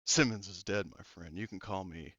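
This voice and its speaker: Villain role: man voicing a smug, cruel psychic, slow low gloating drawl, dull dry lo-fi sound. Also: pushed and bright, no room sound.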